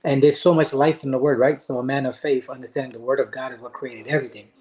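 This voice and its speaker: An earnest man from Haiti talks with the steady conviction of a speaker of faith, pausing often on soft "um"s as he gathers his thoughts. His voice sits at an ordinary middle pitch. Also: crowd noise. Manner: earnest, faith-driven, with frequent "um" pauses. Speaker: guest, motivational speaker and life coach. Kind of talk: interview answers, earnest storytelling.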